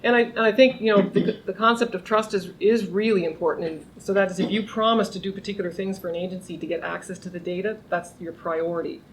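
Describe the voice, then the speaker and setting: A woman's voice: mid-range voice, quick pace, hardly any pauses, slight background noise, low-quality recording. A middle-aged woman professor giving an informal academic talk to a small group, speaking conversationally with restarts and raising her voice to be heard. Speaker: criminology professor. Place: lunch seminar room.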